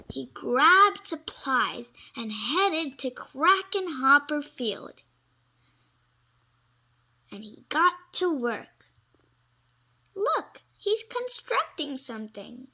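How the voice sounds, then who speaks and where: A woman reading children's stories aloud in an expressive, dramatic way, with suspenseful pauses. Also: sits high. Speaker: storybook reader.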